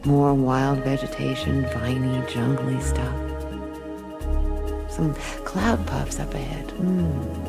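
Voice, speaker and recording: An actress playing a wry, worldly galactic detective, narrating a noir radio drama in a deadpan, musing manner. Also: female voice, rumbling low, slow pace, vocal fry, exaggerated voice. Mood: musing, dreamy. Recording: music bed under the voice.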